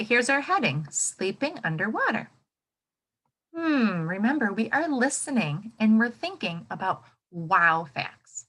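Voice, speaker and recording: A woman teacher reading a nonfiction book aloud to children, expressively, thinking out loud and putting questions to her listeners. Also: mid-range voice. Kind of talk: interactive children's nonfiction read-aloud lesson.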